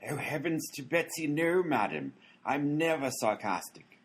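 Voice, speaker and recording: A performer acts out a cranky postal clerk in a radio comedy, with a husky voice that swings widely in pitch. The delivery is flippant, sarcastic and theatrical, with impatient jabs. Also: big pitch swings, a little slow.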